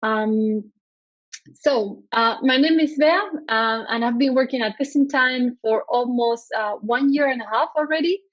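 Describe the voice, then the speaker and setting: A woman's voice: on the high side, unhurried, no room sound, lo-fi sound. A woman from Spain with a Spanish accent, giving a conference talk over a video call, with lots of ums and uhs. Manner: hesitant, frequent ums and uhs. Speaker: conference speaker. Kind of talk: conference presentation.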